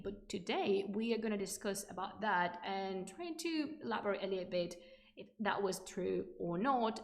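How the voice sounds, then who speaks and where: Creaky-voiced enthusiastic woman in an echoey room. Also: medium pitch. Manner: enthusiastic, chatty, digressive. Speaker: livestream host presenting ancient history. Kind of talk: informal live history lecture with chat asides. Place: indoor room, livestream.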